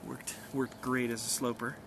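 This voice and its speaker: A man's voice: mid-range voice, little pitch movement, normal speed, background noise. A man speaking casually outdoors as an amateur radio operator, narrating and making calls with hesitant fillers. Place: outdoors on a hillside.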